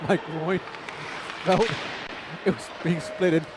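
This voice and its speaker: A man's voice: on the high side, steady tone, slow pace, noisy background. A man commentating live ice hockey, a native Chinese speaker, chatty and self-deprecating, laughing between play-by-play calls.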